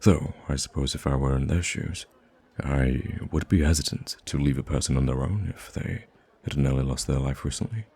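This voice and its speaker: Male actor as gentle wounded prince, ASMR roleplay. Bottomless bass, gravelly rasp, halting and wistful delivery. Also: exaggerated voice. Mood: brooding. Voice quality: very deep, gravelly, husky. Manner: hesitant, gentle, halting with sighs and stammers. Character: soft-spoken wounded young prince, wistful, gracious, secretly roaming a market.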